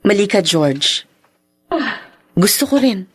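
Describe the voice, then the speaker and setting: A woman's voice: mid-range voice, expressive, slow, husky. An actress playing a bold, bitter, seductive woman out for revenge in a radio drama, with a Filipino accent. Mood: resolute, bitter. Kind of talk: Tagalog radio drama acting.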